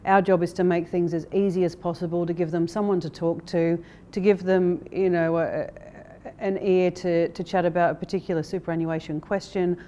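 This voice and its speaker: A woman speaking as a company director in a corporate interview, explaining things in a measured way. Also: fairly low pitch, flat delivery, dark tone, slight background noise. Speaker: interviewee, managing director of an investment firm.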